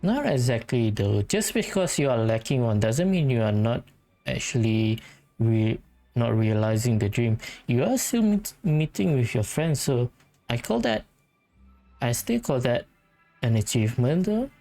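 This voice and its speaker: A woman with a very low voice chats casually through a game playthrough. Her hesitant reactions are unhurried but lively in pitch, over soft game music. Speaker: gaming streamer.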